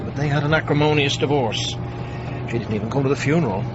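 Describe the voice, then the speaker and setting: A man's voice: medium pitch, fast talker, lightly acted. An actor playing an exasperated, sardonic male police detective in a radio drama, teasing as he talks. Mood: matter-of-fact. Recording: dull sound, clear car noise. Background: car interior and traffic.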